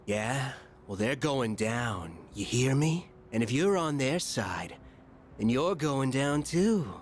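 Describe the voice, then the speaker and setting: A man's voice: mid-range voice, expressive, takes it slowly, slight background noise. A man playing a hot-headed, confrontational young man, blunt and challenging, in an anime game. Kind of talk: anime game dub acting.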